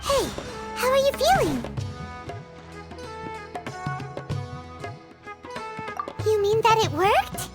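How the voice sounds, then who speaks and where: A tiny female fairy companion from a video game, extremely high and squeaky in voice. She is childlike, chirpy and nosy, with very animated swings of pitch, over background music. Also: moderate pace. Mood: curious. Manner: refers to self in third person, exclaims eagerly.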